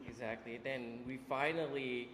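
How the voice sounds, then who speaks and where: Man in his 40s, halting and full of fillers, roomy echoey hall, faint background hum. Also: medium pitch, steady tone. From Vietnam. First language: Vietnamese. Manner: halting, with many fillers and restarts. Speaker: interview guest, business owner. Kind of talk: casual storytelling interview.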